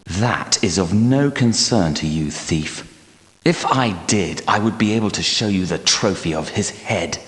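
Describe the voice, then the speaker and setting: A grave old-world knight for a video game, really low, solemn and formal, proud but sorrowful, big echoey stone hall. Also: male voice, animated, measured pace. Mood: scornful. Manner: solemn, archaic, formal diction. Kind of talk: dramatic video game character acting. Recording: long cavernous hall reverb.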